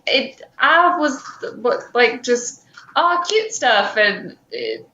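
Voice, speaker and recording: A woman's voice: fairly high pitch, huge pitch swings, slow pace, sharp tone, muffled recording. A woman co-hosting a podcast with casual banter and playful, incredulous reactions, in a roomy recording. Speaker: podcast co-host.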